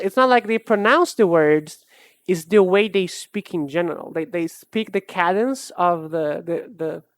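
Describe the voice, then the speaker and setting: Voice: mid-range voice, no room sound, clean studio sound. A streamer from Brazil who speaks with a Brazilian accent and has Portuguese as a first language. They chat casually with a live chat, musing and hesitant, often restarting their sentences. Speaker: art streamer.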